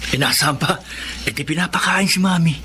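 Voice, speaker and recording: An actor playing a greedy, manipulative young boyfriend in Filipino melodrama, with a coaxing, cocky, exaggerated delivery and a Filipino accent. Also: male voice, a little high, fairly quick, noisy background, lightly acted. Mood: smug. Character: scheming, greedy young man, manipulative sweet-talking boyfriend. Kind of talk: Filipino radio-style melodrama acting.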